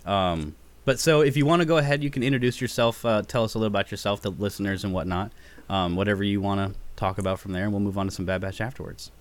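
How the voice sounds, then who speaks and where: A man hosting a casual podcast, upbeat and easygoing, with frequent ums. His voice sits a little low. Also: fast. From United States. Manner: laid-back, upbeat, frequent fillers and laughs. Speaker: podcast host. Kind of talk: casual chat.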